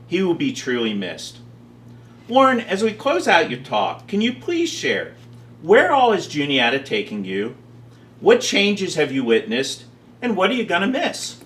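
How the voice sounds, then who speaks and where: Man, colleague at a farewell, mid-range pitch, highly varied intonation. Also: fairly bright tone. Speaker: colleague giving a tribute. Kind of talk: prepared tribute and short quiz answers.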